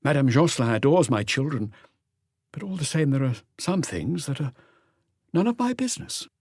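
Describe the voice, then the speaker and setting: A man's voice: medium pitch, very animated, moderate pace, a little mellow, no room sound, clean studio sound, voice pushed up. A man voicing a nervous, deferential doctor who answers police questions in a hesitant, guarded way for an audiobook. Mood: uneasy, guarded.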